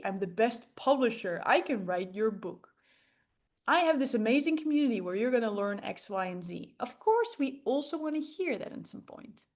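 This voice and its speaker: Woman, high voice, enthusiastic group coach, emphatic, lots of rhetorical questions. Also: a little mellow. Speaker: coach leading a public speaking masterclass. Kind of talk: interactive group coaching talk.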